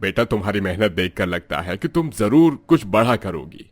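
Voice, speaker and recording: Man voicing a gentle teacher, lowish and lively, dry sound. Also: normal speed, dry recording. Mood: encouraging. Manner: gentle and encouraging. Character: kind fatherly teacher.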